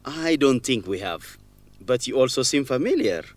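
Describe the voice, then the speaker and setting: A man's voice: medium pitch, animated, normal speed, dry recording, close to an everyday voice. An actor playing a polite, reserved young man in an educational radio drama. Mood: hesitant, polite.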